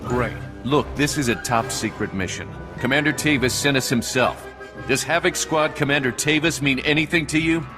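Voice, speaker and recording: A man voices a hard-edged covert operative in a video game. His mid-pitched voice is curt and insistent. Music sits clearly behind him. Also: normal speed, close to an everyday voice. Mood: exasperated, urgent. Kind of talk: video game cutscene dialogue.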